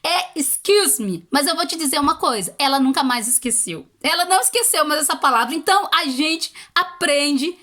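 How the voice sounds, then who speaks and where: A woman's voice: high-pitched, expressive, moderate pace, few pauses, pushed and bright. A Brazilian woman vlogger giving casual motivational advice in an encouraging, conversational, emphatic way, with a Brazilian accent. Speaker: vlogger giving language-learning tips.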